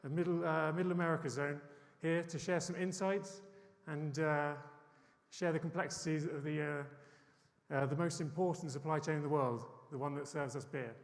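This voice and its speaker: A man's voice: a little high, steady tone, moderate pace, creaky, in a large hall. A man moderating a session, introducing the next speaker in a hesitant way with fillers.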